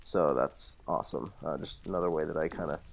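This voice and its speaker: A man with a slight cold casually narrating a walkthrough of his game builds, relaxed and rambling with lots of fillers and self-corrections. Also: extremely low pitch, fairly quick, vocal fry, a little hiss or hum. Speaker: channel host showing his Minecraft server builds.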